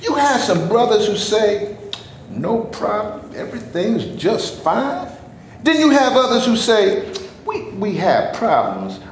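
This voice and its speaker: A man's voice: high-pitched, exaggerated voice. An actor in a staged reading, playing an impatient, fed-up man who speaks in an impassioned, indignant way. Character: fed-up, impatient man demanding his share. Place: theater before a live audience. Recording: echoey room, faint background noise.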